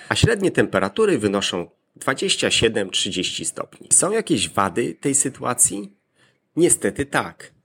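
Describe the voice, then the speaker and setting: A man presenting a scripted explanatory monologue in a measured, formal manner, setting out arguments point by point. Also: medium pitch, a bit bright, breathy edge.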